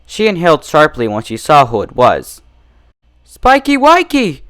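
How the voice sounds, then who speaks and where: A man presenting scripted fan fiction readings and reviews as host. His pitch moves in a very lively way, and his delivery is deliberate and expressive. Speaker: story narrator and reviewer, channel host.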